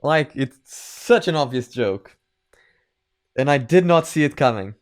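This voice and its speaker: Male reaction host, casual and self-mocking, smooth clear voice, slow pace. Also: medium pitch, expressive. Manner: self-deprecating.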